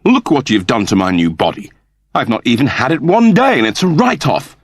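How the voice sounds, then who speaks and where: A man's voice: mid-range voice, sing-song, a little fast, breathy edge, dry recording, clean studio sound, voice pushed up. A man voicing a fussy, earnest mechanoid turned human in an audiobook, with a plaintive, pedantic manner. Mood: indignant, whining.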